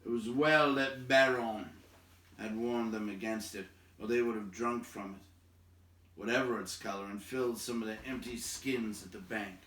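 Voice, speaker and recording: A man reading a fantasy story aloud in a dramatic, measured style, with suspenseful emphasis and pauses. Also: on the low side, fairly even intonation, fairly bright tone. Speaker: storybook reader. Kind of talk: dramatic read-aloud narration of a fantasy novel. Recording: roomy echo, distant microphone.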